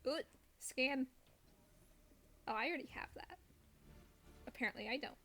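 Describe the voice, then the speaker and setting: A woman with a fairly high voice chats casually while streaming a video game. She is playful and self-deprecating. There is faint noise behind her. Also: moderate pace, bright, forceful tone. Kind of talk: casual live gameplay chat.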